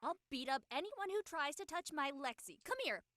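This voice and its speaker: A woman's voice: sits high, animated, normal speed, a bit bright, no room sound. A woman playing a bubbly, affectionate, feisty young friend in casual scripted dialogue.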